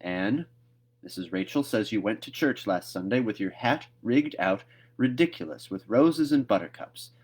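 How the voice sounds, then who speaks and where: A man's voice: on the low side, expressive, moderate pace, slightly rough. Man reading as a stern, exasperated older woman. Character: stern, vexed older woman scolding a child.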